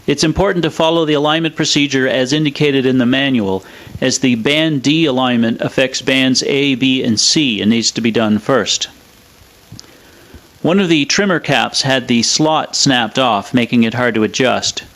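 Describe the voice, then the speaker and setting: Measured man narrating. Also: mid-range voice, fairly bright tone. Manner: measured, matter-of-fact. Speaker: presenter of a vintage radio restoration video. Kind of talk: scripted technical narration.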